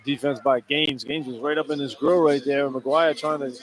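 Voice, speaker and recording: A man's voice: medium pitch, steady tone, normal speed. A man giving live radio color analysis of basketball, explaining plays in informal, emphatic short remarks. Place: courtside in an indoor arena.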